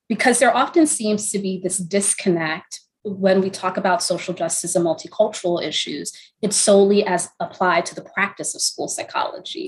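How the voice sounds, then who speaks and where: A middle-aged woman, a professor, speaking as a panelist in a measured, articulate academic way. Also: lowish voice, rough recording. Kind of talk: panel discussion.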